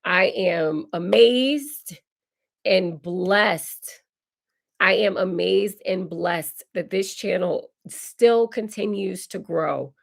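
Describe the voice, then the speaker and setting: A woman in her forties, hoarse and raspy while recovering from illness, hosting a casual live Q&A and answering viewer comments. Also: medium pitch, very slow. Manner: chatty, reads viewer comments aloud and answers warmly. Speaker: decor channel host. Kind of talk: live stream Q&A chat.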